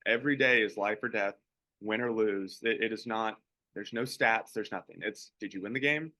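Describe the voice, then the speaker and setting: A young man who plays pro baseball, co-hosting a podcast and chatting in a relaxed, rambling way. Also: fairly low pitch, moderate pace, dry recording. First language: English. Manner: relaxed, rambling, many restarts. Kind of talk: casual podcast chat.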